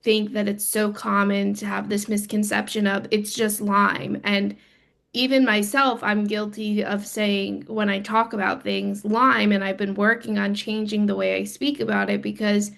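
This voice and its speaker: A woman hoarse from a cold, talking eagerly on a group video call. Her voice is rough with gravelly fry at a middle pitch. She is heard over a video call. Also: flat delivery, moderate pace, a bit bright. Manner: apologetic, talkative. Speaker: participant.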